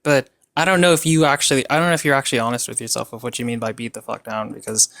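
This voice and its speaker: A man's voice: mid-range voice, level tone, rapid-fire, gravelly, fairly bright tone, dry recording. Man, online debater, mocking, laid-back slang, dry close sound. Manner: mocking, casual, lots of fillers. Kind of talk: online debate trash talk.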